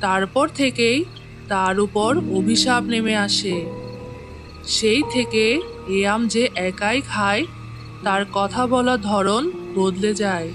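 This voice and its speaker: A woman playing an elderly village grandmother in a cartoon moral tale, caring and gravely admonishing. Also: medium pitch, slow, pauses often, over music, lightly acted. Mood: grave storytelling. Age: older. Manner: admonishing, storytelling.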